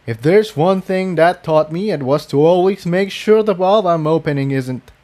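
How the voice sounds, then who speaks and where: A man's voice: on the high side, moderate pace, darkish tone, voice pushed up, close to an everyday voice. A man voicing a good-humored male pony adventurer with a casual, wry manner in a story reading. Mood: amused.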